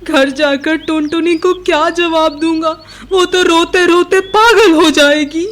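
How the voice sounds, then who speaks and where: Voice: very high-pitched, steady tone, measured pace, fairly bright tone. A performer voicing a male father bird with earnest, melodramatic delivery in a cartoon story. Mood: distraught.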